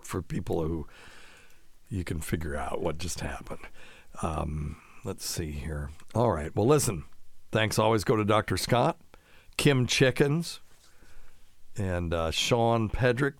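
A man's voice: on the low side, no echo. A man hosting a casual live show, answering listener questions in a folksy, rambling way and explaining medical terms plainly.

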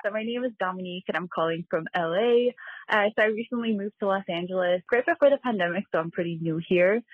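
A woman's voice: fairly low pitch, dry recording. Nervous Brazilian woman on a phone line. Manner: nervous, rambling, giggly. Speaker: caller. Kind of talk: recorded voicemail question.

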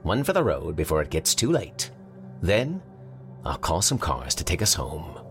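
Man doing a rabbit police chief: gruff, tired, low-voiced, faint music under. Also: huge pitch swings, normal speed, a bit bright, full character voice. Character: tough middle-aged male rabbit police chief.

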